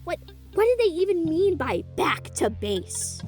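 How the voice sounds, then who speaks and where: A woman voicing an impulsive, curious young woman who gets excited and bursts out easily. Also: extremely high pitch, soft background music, voice pushed up, exaggerated voice. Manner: excitable, quick to outbursts.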